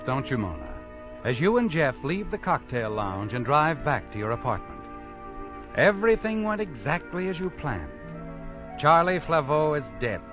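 An actor playing a sinister all-knowing storyteller in 1940s radio suspense narration, knowing and ominous. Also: male voice, medium pitch, natural voice. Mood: mocking, knowing. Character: sinister omniscient male storyteller taunting the guilty. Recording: dull old 1940s radio recording.

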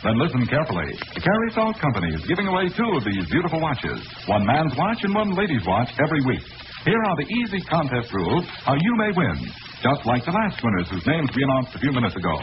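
Old timey radio ad man, super lively, punchy salesy delivery, muffled hissy recording. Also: medium pitch, quick pace. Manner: promotional, emphatic, clearly enunciated. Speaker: radio announcer. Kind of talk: vintage radio sponsor announcement.

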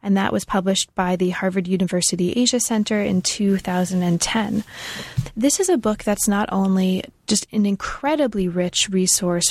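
A woman's voice: medium pitch, a little mellow, no room sound. A woman hosting an academic book interview, speaking with enthusiastic, effusive praise and frequent fillers. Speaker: podcast host.

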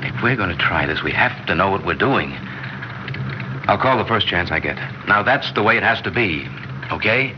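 A man's voice: deep voice, expressive, moderate pace. A man acting in a classic radio drama as an anxious, guilty middle-aged husband, hesitant, evasive and insistent. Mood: tense. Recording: muffled, noisy old radio recording.

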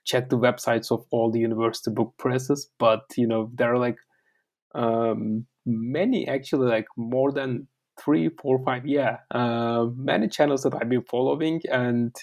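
Guy, author on a podcast, mid-pitch voice, keeps pausing and restarting. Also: fairly even intonation, a little mellow. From Turkey. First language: Turkish. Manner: hesitant, many fillers and restarts. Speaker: author guest. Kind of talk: interview.

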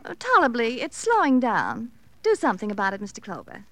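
Flippant dancer with lively, swooping delivery. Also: female voice, on the high side, quick pace, no room sound. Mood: coolly flippant. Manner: theatrical, mock-breezy, arch. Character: flippant, sardonic nightclub dancer suspected of murder. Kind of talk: classic radio crime drama acting.

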